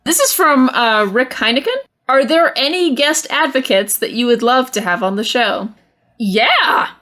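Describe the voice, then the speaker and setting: A woman's voice: sits high, animated, measured pace, pushed and bright. A woman chatting casually on a podcast panel in a playful, blunt way. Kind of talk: casual chat.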